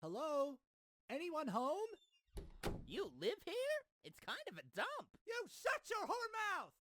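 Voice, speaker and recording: An actor voicing a small, excitable, foul-mouthed cartoon creature in a parody dub. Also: sits high, a little slow, background noise, full character voice. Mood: curious, then indignant.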